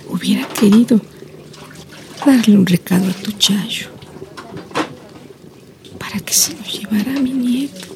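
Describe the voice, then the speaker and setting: A woman playing a slow, creaky, lonely old grandmother. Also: medium pitch, background noise. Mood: melancholy, resigned. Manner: slow reflective storytelling, sighing interjections, long pauses. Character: lonely old blind Mexican village grandmother, wistful and resigned. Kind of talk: radio drama monologue.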